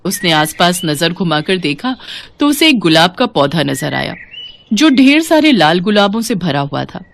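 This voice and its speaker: उतार-चढ़ाव से भरी महिला कथावाचक. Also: female voice, fairly low pitch, moderate pace, creaky, slight background noise. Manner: expressive storytelling. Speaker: narrator. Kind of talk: scripted children's story narration.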